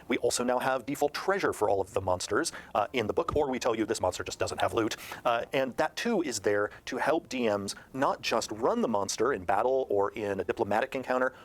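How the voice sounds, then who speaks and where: Middle-aged man, interviewed designer, medium pitch, keen and emphatic explainer. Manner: enthusiastic, emphatic phrasing. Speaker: game book designer in promotional interview.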